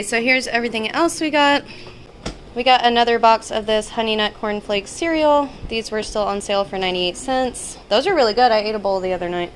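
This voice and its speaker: A woman in her thirties speaks in a fairly high voice. She chats informally, listing items as a vlog host. There is some household noise behind her. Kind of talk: casual chatty grocery haul narration. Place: indoors in a home kitchen.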